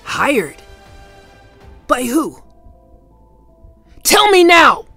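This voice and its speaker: A man's voice: very high-pitched, wildly swooping pitch, dry recording, full character voice. A man playing a ruthless, cocky mob boss with a protective streak in an ASMR roleplay drama, commanding and taunting. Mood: angry, commanding. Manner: commanding, taunting, slow deliberate delivery. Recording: loud music bed under voice. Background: music.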